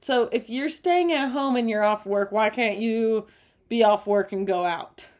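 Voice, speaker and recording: A young woman co-hosts a relaxed chat with her partner. She sounds bubbly and expressive, laughing readily and gasping when surprised. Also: on the high side. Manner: bubbly, laughs often, quick gasps of surprise. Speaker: podcast co-host. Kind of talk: casual couple's chat.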